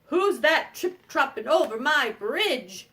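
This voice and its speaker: A woman voicing a mean, hungry bridge troll in a storybook tale, loud, drawn-out and demanding. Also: high-pitched, very animated, slight background noise, full character voice. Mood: menacing.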